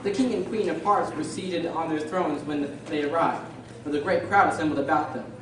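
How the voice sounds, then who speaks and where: A composed storybook narrator voiced by a woman reads in a measured radio-drama style. The room is extremely echoey and the voice is far from the mic, under clear noise. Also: sits low, lightly acted. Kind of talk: scripted narration.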